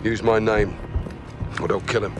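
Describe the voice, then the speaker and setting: An actor playing a gruff, authoritative military captain in a video game. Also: male voice, fairly low pitch, steady tone, moderate pace, lightly acted. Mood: terse, grave. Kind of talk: video game cutscene acting. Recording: music behind the voice.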